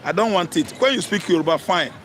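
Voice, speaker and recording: High-pitched, emphatic Nigerian man commentating. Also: lively intonation, fast talker, a bit bright, slight background noise. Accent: Nigerian Igbo. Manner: emphatic, repetitive, combative, slips into Pidgin. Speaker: talk show commentator. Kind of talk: opinionated live political commentary.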